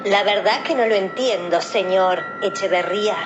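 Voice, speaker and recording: An actress playing a flighty, cheeky, blunt daughter in a radio play. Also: female voice, mid-range voice, moderate pace, music in the background, lightly acted. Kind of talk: theatrical radio play acting. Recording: dull, noisy recording.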